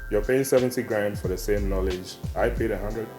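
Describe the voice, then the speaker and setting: Ghanaian man in his thirties, very low voice, self-mocking and quick to laugh, faint music and hum behind. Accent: Ghanaian English. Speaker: interview guest. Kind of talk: casual interview chat.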